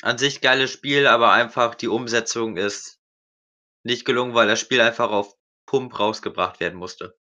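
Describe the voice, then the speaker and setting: A man's voice: mid-range voice, normal speed, pushed and bright. A young man co-hosting a casual gaming podcast, making blunt, slangy short remarks. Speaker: podcast co-host. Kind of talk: casual chat.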